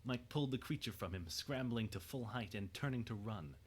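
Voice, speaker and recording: A man narrating a scripted dramatic story in a measured, suspenseful way. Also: mid-range voice, slightly rough.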